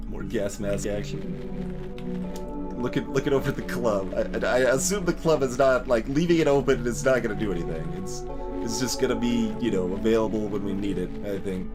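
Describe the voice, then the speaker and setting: A man's voice: lowish voice, expressive, moderate pace, over loud music. A man giving casual video game commentary, thinking aloud as he plays. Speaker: let's play host.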